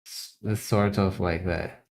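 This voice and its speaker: A Brazilian man speaks English with a Brazilian accent in a very low, deep voice. He talks slowly and casually while drawing, often stopping to repeat a word before he goes on. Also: fairly even intonation, darkish tone. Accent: Brazilian Portuguese. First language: Brazilian Portuguese. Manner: halting, repeats words while thinking. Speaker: illustrator.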